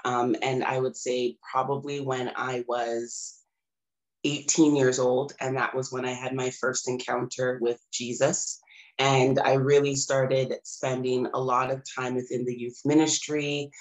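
A woman, a little raspy and hoarse from a cold, telling her personal story in an earnest, reflective way as a podcast guest. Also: very deep voice, little pitch movement, measured pace, poor audio. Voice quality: slightly raspy. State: recovering from a cold, slightly hoarse. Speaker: podcast guest, empowerment coach and holistic healer.

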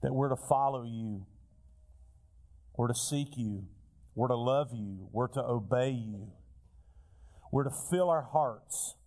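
A male pastor preaching a sermon while fighting a cold, his voice a little raspy, building earnest lists of repeated phrases. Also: mid-range voice, takes it slowly, long pauses, a little mellow. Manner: earnest preaching, repetitive lists, rising emphasis. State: has a cold, slightly raspy.

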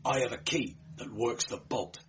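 A man's voice: medium pitch, normal speed, close to an everyday voice. A man voicing an anxious, dutiful night watchman who explains earnestly in an audiobook story. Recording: dull, noisy amateur recording.

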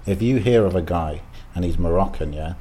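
A man's voice: deep voice, fairly quick, warm tone. A man co-hosting a podcast, chatting loosely and giving strong opinions with plenty of fillers. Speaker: podcast co-host. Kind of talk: casual chat.

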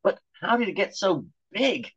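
A man's voice: high-pitched, big pitch swings, normal speed, a bit bright, husky, voice pushed up, full character voice. Man voicing a cocky young student. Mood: puzzled. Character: young male student, cocky and laid-back. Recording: dull lo-fi audio.

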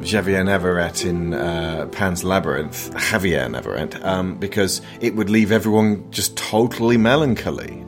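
A man with a notably deep voice talks casually about films as a podcast host. He is opinionated and follows his own tangents, with music faintly audible behind him. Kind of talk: casual film discussion.

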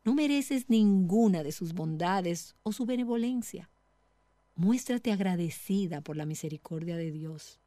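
A middle-aged woman giving a devotional teaching talk as a Bible teacher, speaking in a measured, earnest way directly to the listener. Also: lowish voice, expressive, warm tone, no room sound.

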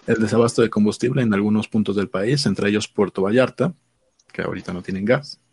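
Hombre de voz grave y ronca, seco. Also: male voice, on the low side, fairly quick, a little mellow, husky, no echo. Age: 30s. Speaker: co-host reading news. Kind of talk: casual news discussion.

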